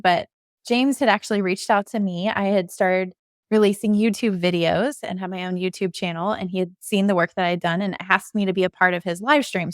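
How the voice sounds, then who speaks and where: A woman's voice: medium pitch, no room sound, studio quality. A woman talking casually as a guest in a podcast interview. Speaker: podcast guest, design director.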